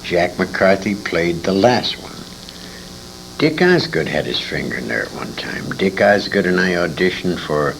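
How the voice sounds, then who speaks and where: Very deep, gravelly older man reminiscing. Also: very animated, a little slow, fairly bright tone, a little hiss or hum, poor audio. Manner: rambling, self-correcting storyteller. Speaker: interview guest, former radio actor. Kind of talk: reminiscing interview talk.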